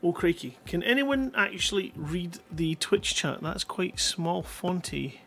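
A man's voice: sits high, big pitch swings, a little slow, vocal fry, fairly bright tone, soft background music. A middle-aged man hosting a livestream, chatting casually and rambling in a jokey, self-deprecating way into a headset mic. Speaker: live stream host.

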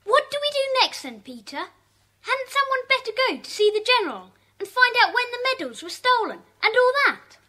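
A woman's voice: very high-pitched, very animated, moderate pace, bright, forceful tone, exaggerated voice, poor audio. A woman playing an eager, questioning schoolchild in a children's audio drama. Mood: inquisitive.

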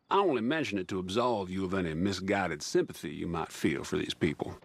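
An actor playing a cold, formal henchman in a western video game, measured, dry and pompous. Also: deep voice, gravelly. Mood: coolly businesslike. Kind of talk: video game cutscene monologue.

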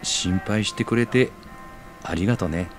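Man acting quiet, low-voiced gentle man. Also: measured pace, faint music behind. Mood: tender. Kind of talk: radio sound-drama dialogue.

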